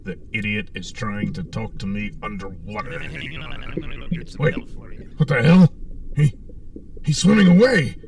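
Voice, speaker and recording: A man's voice: lowish voice, measured pace, darkish tone, close to an everyday voice. A man playing a pompous, hapless armored superhero in a comic audio serial, boastful and melodramatic, easily exasperated, recorded distantly with noise behind him. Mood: indignant. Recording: distant, roomy sound with background noise.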